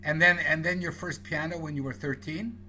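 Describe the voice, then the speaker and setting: A man's voice: on the high side, steady tone, fairly quick, bright, forceful tone, a little hiss or hum. A man hosting an interview, playful and teasing, stumbling over repeated words. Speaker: interview host. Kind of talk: conversational interview.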